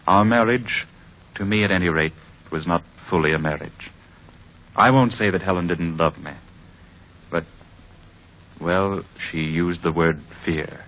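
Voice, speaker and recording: An actor playing an earnest, troubled married executive in an old time radio drama, speaking in a measured, formal, sincere way. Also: male voice, low voice, a bit bright. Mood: earnest, reflective. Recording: muffled old radio recording.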